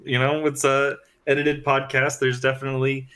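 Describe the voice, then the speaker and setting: A man's voice: medium pitch, completely flat delivery, normal speed, a bit bright, clear, resonant tone. A man talking as a podcast cast member, explaining things casually to the group.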